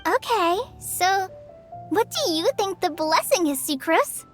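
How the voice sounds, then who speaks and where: A woman's voice: very high voice, animated, takes it slowly, heavily acted. A woman voicing a shy, earnest, self-doubting teenage girl in a video game, speaking politely and hesitantly. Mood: curious. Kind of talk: animated video game voice acting. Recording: music behind the voice. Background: game music.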